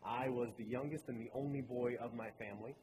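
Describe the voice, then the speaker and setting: A man's voice: mid-range voice, fairly even intonation, brisk. Earnest young man in an echoing hall. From Baltimore, Maryland. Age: late 20s. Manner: earnest, conversational storytelling. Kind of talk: personal talk in a sermon. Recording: reverberant hall with clear room noise.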